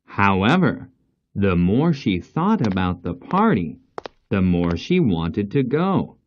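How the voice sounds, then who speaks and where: A man reading a scripted fairy tale as storyteller, in a slow, deliberate, expressive way. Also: lowish voice, dull audio.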